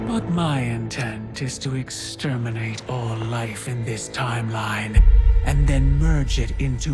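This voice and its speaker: Villain sorcerer for a video game male actor: scheming, theatrical, mid-pitched, unhurried delivery. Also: lightly acted. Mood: gloating. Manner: smug, theatrical, deliberate. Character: scheming, gloating male sorcerer bent on conquest. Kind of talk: dramatic video game acting. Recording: loud cinematic music behind voice. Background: loud music.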